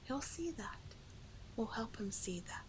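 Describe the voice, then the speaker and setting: A woman's voice: fairly high pitch, very animated, a little slow, poor audio. Woman as a whispering, hypnotic sea witch. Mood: hypnotic, persuasive. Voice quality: soft breathy whisper. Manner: hypnotic, coaxing, repetitive, tenderly possessive. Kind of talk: whispered ASMR roleplay, sleep aid.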